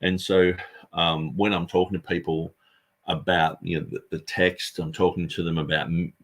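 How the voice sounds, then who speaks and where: A man's voice: low-pitched, little pitch movement, moderate pace, fairly bright tone. A man, a street preacher and Bible translator, answering an interview question in an earnest, rambling way with frequent restarts.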